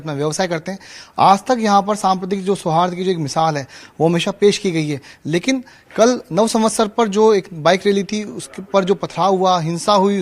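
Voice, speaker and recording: A male field reporter giving an unscripted on-location news report, halting and repetitive, with many filler phrases. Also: high-pitched, a bit bright, dry recording. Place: outdoors in a market street.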